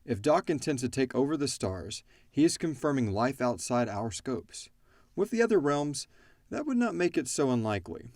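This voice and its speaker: A man's voice: medium pitch, darkish tone, dry recording. A man hosting a casual question-and-answer chat, speaking informally and thinking aloud as he goes. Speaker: podcast host and audio drama creator.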